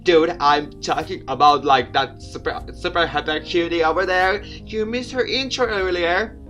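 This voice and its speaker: Man putting on an excitable, urgent teenage schoolboy. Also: fairly high pitch, moderate pace, faint music behind, pitched above the performer's own voice. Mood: excited, urgent. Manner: exaggerated, urgent, slangy. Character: excitable slangy teenage schoolboy, the hero's classmate.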